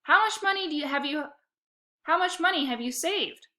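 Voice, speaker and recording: A woman's voice: high voice, expressive, normal speed, sharp tone, voice pushed up. Woman voicing a feisty, eager kid. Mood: defiant. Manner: emphatic, pleading, teasing. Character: eager, feisty child narrator, a dog-loving competitive sibling.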